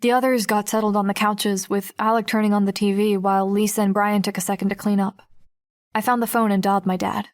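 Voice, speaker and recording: A woman playing a guarded, observant teenage girl who narrates an audiobook in the first person, reflective and measured. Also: medium pitch, level tone, lightly acted. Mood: wary. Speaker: narrator and lead character.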